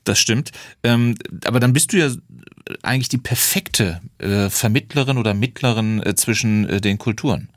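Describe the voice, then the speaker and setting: A man's voice: medium pitch, moderate pace, dry recording, clean studio sound. A man hosting a radio interview, asking probing questions with frequent fillers. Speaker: radio host. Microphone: studio microphone.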